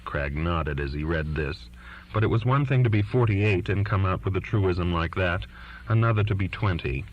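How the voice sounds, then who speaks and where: A man's voice: low-pitched, moderate pace, slight background noise. A man reading literary fiction aloud as a scripted audiobook narration, in a lo-fi recording. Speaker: audiobook reader. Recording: dull lo-fi recording.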